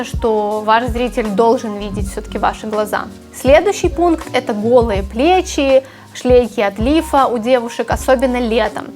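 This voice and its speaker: A woman from Ukraine, a native Ukrainian speaker, giving articulate, emphatic career advice in an explanatory vlog as a journalist. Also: on the high side.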